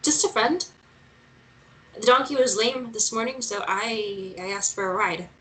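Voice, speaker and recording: A woman's voice: mid-range voice, steady tone, unhurried, pushed and bright, recorded from a distance, poor audio. A woman playing an inquisitive, plain-spoken and wry journalist in a screenplay table read. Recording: clear background noise.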